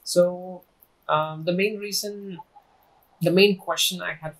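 A young man hosts a casual podcast from a small office, speaking into a lapel mic. His voice sits a little high and sounds bright, with a fairly even, level delivery. He asks friendly questions at a measured pace, with the odd filler. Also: rough recording. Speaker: podcast host.

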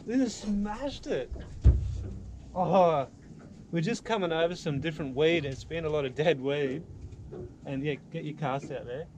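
Aussie guy in his 30s coaching a kid while fishing, slightly high voice, loud wind and water noise on the boat. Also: measured pace, pauses often. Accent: Australian. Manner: upbeat, patiently coaching a child. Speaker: fishing show host. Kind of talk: casual instructional fishing chat. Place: small boat on open water outdoors. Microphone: action camera mounted on the boat.

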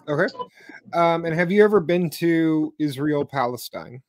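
A young man in his twenties hosts an interview over a webcam from a room at home, eager, with frequent ums and restarts. His voice sits a little high. The sound is dry and close. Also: normal speed, a little mellow, dry recording. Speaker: host, interviewer. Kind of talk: interview hosting. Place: home room with bookshelves, indoors. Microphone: webcam on a video call.

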